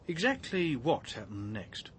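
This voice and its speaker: An actor playing a polite, probing chief inspector in a radio drama, with a husky voice. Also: male voice, mid-range voice, huge pitch swings, measured pace, fairly bright tone, full character voice. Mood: calm, probing. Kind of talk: naturalistic radio drama acting.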